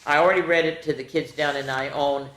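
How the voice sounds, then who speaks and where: A woman's voice: deep voice, steady tone, fairly quick, echoey room, rough recording. An older woman reading to children with chatty, folksy asides, speaking playfully and directly to her young listeners. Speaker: storytime host reading picture books to children.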